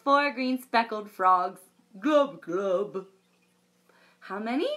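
A woman in her thirties, a children's librarian, reading a picture book and leading a sing-along for young children in a lively storytime manner. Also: mid-range voice, takes it slowly. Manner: bright, animated storytime voice. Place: home room with a bookshelf backdrop.

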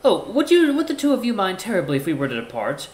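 A man voicing a refined male boss in a story, speaking in a polite, measured, formal way. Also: high voice, wildly swooping pitch, roomy sound, voice pushed up.

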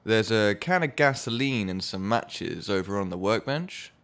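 Low-pitched, self-assured husband. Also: male voice, animated, measured pace, a bit bright. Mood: affable, boastful. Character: Charles, proud record producer husband with menacing streak. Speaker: radio drama actor. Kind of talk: naturalistic radio drama dialogue.

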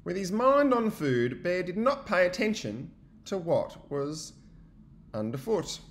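A man giving an expressive, child-directed storybook read-aloud, like a teacher, with chatty asides. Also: high voice, slow pace.

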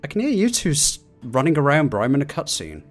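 A man's voice: a little high, huge pitch swings, fast. A man playing a co-op video game, chatting casually and jokingly with friends. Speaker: gamer in a co-op playthrough. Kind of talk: casual chat while gaming. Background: game music.

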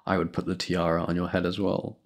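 A man's voice: low-pitched, fairly even intonation, normal speed, creaky. A young man in a boyfriend roleplay, talking to a girlfriend in a playful, laughing, affectionate way, close to the mic.

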